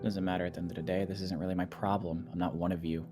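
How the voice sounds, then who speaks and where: A man's voice: deep voice, flat delivery, very fast, close to an everyday voice. A man playing a bitter, aloof and reluctant dragon slayer in an improvised fantasy game roleplay. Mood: bitter, dismissive. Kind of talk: improvised Minecraft roleplay acting. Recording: music clearly behind the voice.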